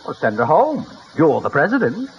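A pompous, huffy middle-aged man of radio comedy, exasperated and stuffy. His highish voice swoops dramatically up and down, on a muffled old recording. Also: wildly swooping pitch, moderate pace. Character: stuffy, indignant middle-aged son fearing public ridicule. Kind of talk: 1950s radio comedy acting.

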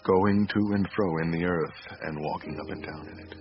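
Deep male voice, actor as sincere remorseful dad, radio drama, muffled sound, music. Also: normal speed, close to an everyday voice. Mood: solemn. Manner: earnest, sincere. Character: earnest, remorseful father and husband. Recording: muffled radio recording, loud music behind.

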